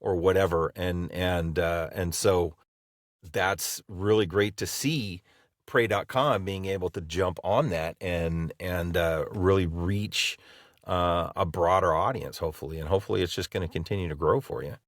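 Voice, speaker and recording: A man's voice: low voice, fairly even intonation, moderate pace, no room sound, clean studio sound. A man co-hosting a podcast, talking enthusiastically and rambling with many "you know"s. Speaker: podcast co-host. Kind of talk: casual podcast conversation.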